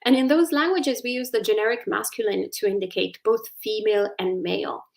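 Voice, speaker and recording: A woman speaks English with an Italian accent, explaining a teaching as a Buddhist nun. Her voice has a gravelly fry at a middle pitch, and she picks her way through ideas with many ums and restarts. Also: a little fast. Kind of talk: explanatory dharma talk.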